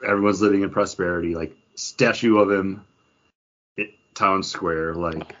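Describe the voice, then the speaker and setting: A man's voice: low-pitched, fairly even intonation, moderate pace, a bit bright, dull audio. A man hosting a casual pop culture news chat in a conversational way, often acting out imagined quotes. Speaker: podcast host.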